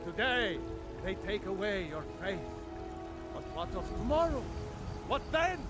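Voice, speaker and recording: A man's voice: high voice, sing-song, a little slow, over loud music. A man playing a fanatical street preacher in a fantasy game, declaiming fervently in ringing oratory. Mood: fiery, accusing. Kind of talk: fantasy video game dialogue.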